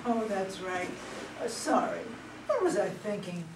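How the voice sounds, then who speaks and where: Lady acting a self-assured young female attorney general, poised, mid pitch, echoey room, faint noise. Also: sing-song, unhurried, distant mic. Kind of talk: amateur staged play reading.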